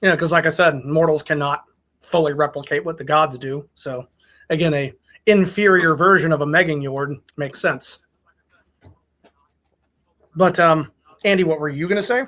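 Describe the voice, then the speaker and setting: A man's voice: on the high side, moderate pace, pauses often, a bit bright. A man casually talking with friends as host, explaining his thoughts in a hesitant, considered way. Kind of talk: casual group chat.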